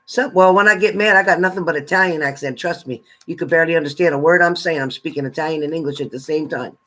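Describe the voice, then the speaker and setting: Chatty New man, slightly high voice. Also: fast talker, pushed and bright. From New Jersey. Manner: chatty, greets and thanks viewers by name, laughs often. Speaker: tarot reader. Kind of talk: casual livestream chat.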